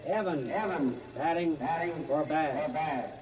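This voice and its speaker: Male ballpark PA announcer, slow and formal, booming loudspeaker echo from far away. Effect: stadium loudspeaker. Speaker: stadium public-address announcer. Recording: distant, echoing, muffled ballpark PA.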